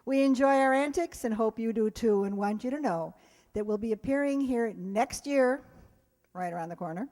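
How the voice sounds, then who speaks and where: A woman's voice: fairly high pitch, moderate pace, mellow. An American woman, director of a theater group, giving a chatty thank-you speech directly to her audience and cast. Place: live stage performance in a hall. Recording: echoey hall with audience sounds.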